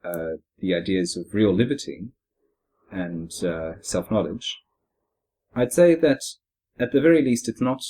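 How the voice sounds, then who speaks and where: A young man with a foreign accent talks in a measured, reflective way during a casual podcast discussion. His voice sits fairly low and has a husky edge. He pauses with soft fillers as he works through his points. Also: expressive. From born abroad, moved to Australia at fifteen. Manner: measured, reflective, with frequent "uh" and soft "mm-hmm" backchannels. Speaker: podcast host.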